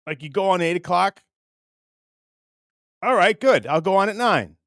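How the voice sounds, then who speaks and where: A man hosting a casual livestream, rambling and halting as he chats with viewers in a crude, jokey, profane way. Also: high voice, animated, fairly bright tone, dry recording, studio quality. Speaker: livestream host.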